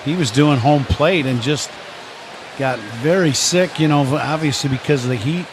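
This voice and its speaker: A man's voice: fairly high pitch, moderate pace. An American man giving conversational, explanatory color commentary on a live baseball radio broadcast. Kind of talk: live radio game analysis. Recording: crowd ambience noise behind broadcast. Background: ballpark ambience noise.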